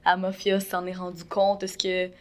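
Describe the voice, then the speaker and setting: A woman's voice: lowish voice, completely flat delivery, moderate pace. A woman interviewing, asking careful probing questions with hesitations and short acknowledgements.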